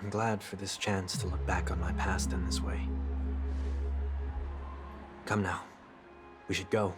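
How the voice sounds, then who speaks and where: A man voicing a solemn, refined fallen gentleman in a video game, wistful and courteous, with formal, measured phrasing. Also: deep voice, level tone. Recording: game music behind the voice. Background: game soundtrack music.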